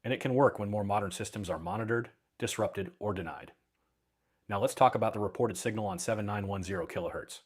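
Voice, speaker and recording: A man with a low-pitched, husky voice reads scripted explainer narration. His phrasing is deliberate and measured, broken into short clauses that each get their own weight. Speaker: narrator of an intelligence and radio technology explainer.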